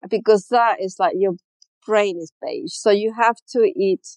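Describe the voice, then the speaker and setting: A woman in her fifties from Chile, a fashion designer as a guest, chatting candidly and with self-deprecating humor in a relaxed interview. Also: mid-range voice, measured pace, no room sound. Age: 50s. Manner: candid, self-deprecating, laughs often, many "you know"s. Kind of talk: casual interview chat.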